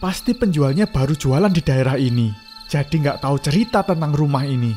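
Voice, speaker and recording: Man as young guy, somewhat high voice, casual, cartoon. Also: lively intonation, fairly quick, mellow, soft background music, lightly acted. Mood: worried. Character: young man Riz, easygoing.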